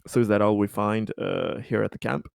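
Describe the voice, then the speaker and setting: A man talking casually as a player in a tabletop role-playing game, phrasing things haltingly and with measured care. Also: lowish voice, steady tone, vocal fry, no room sound, clean studio sound. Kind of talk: casual in-game talk, speaking for a company director character.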